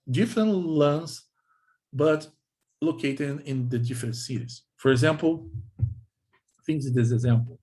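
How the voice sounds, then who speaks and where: A man with a Brazilian accent, a native Portuguese speaker, gives a technical lecture in halting non-native English, repeating himself and often checking in with short questions. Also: on the high side, darkish tone, rough recording.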